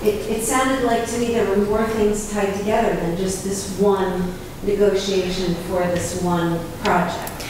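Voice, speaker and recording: A woman's voice: mid-range voice, fairly even intonation, a little slow, background noise. Apologetic woman far off in an echoey hall. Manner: apologetic, unsure. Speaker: resident commenting at a meeting. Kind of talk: public comment. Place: town meeting hall.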